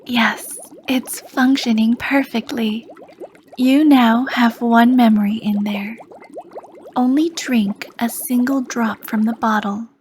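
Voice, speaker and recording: A woman voicing a charming, sly female potion master as a shopkeeper in an ASMR roleplay, speaking softly and flirtatiously and often dropping into whispers. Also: a little high, fairly even intonation, takes it slowly, a little hiss or hum. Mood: soft flirty. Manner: soft, flirty, drifts into whispers.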